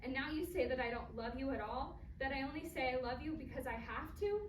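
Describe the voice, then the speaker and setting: A woman's voice: high voice, animated, brisk. A woman playing an emphatic adult daughter with long pent-up hurt and anger in a staged play reading. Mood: impassioned, hurt. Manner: emphatic, impassioned. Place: performance space, recorded from afar. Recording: echoey distant stage recording, clear noise.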